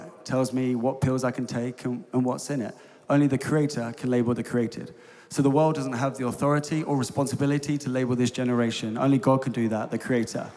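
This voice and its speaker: A man youth ministry leader giving a preacherly conference talk from a stage, building to emphatic peaks. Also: mid-range voice. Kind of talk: conference talk, then hosting a panel. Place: large concert hall on stage. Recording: large hall with long reverb.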